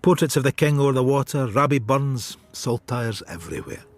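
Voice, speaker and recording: A man's voice: medium pitch, animated, moderate pace, no room sound, natural voice. A man giving scripted narration for a crime radio drama.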